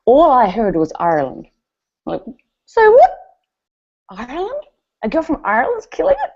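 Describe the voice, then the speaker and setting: A woman's voice: sits high, moderate pace, muffled recording. A woman from New Zealand with a New Zealand accent gives a motivational speech at a company event, telling stories and acting out what others said. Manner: animated storytelling with quoted voices.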